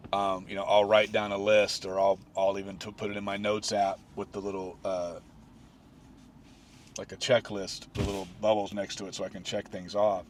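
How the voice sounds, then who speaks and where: A man's voice: lowish voice, slight background noise. A man in his late forties talking alone on his own podcast, rambling with many restarts and fillers. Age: 40s. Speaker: podcast host. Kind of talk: solo reflective podcast talk.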